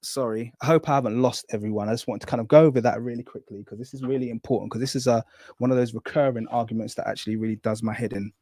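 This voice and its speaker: Mellow man explaining step by step. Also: medium pitch, fairly even intonation, no room sound, studio quality. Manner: thorough, apologetic, keeps saying really quickly. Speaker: guest explaining hieroglyphs. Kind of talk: live-stream lecture with visual aids.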